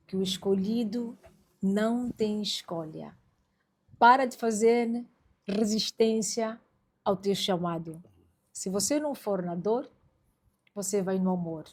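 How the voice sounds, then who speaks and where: Female faith podcast host, medium pitch, emphatic preacher-like exhortation spoken straight to the audience. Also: a little mellow, breathy edge. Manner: exhorting, emphatic, addresses listeners directly.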